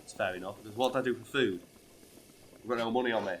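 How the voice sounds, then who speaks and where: A hoarse, ill man swearing freely as he plays a video game and tells a story. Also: on the low side, rapid-fire, a little hiss or hum. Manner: swears freely, bursts into loud outbursts. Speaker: co-host playing a video game. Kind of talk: casual gaming chat with storytelling.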